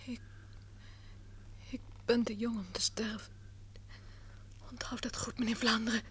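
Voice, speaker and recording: An actress in an old radio drama playing a young injured woman, frightened and weak, speaking in a faint, halting whisper on a noisy old recording. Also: on the high side, flat delivery, fairly bright tone.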